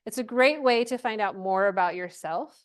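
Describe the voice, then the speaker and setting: A woman's voice: medium pitch, normal speed, smooth. A woman giving an informal workshop presentation to a live group, chatty and encouraging, often checking in with questions.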